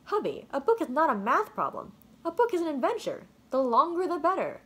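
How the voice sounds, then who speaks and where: Casting: woman playing a caring storybook mother, emphatic and lifted in pitch, swooping and animated. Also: fairly quick. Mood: encouraging. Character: concerned, encouraging mother of a schoolboy. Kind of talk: children's storybook read-aloud.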